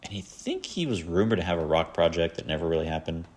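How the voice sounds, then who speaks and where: A man's voice: deep voice, expressive, fast. A man hosting a solo podcast, chatting casually and rambling about his favorite albums with lots of fillers and self-deprecating asides. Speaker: podcast host.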